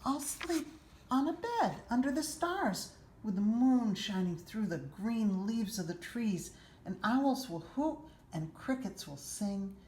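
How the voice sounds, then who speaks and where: A woman voicing an eager, imaginative young boy in a children's storybook, earnest and enthusiastic with drawn-out phrasing. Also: mid-range voice, mellow, voice pushed up. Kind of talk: children's storybook read-aloud. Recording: roomy echo, faint background noise.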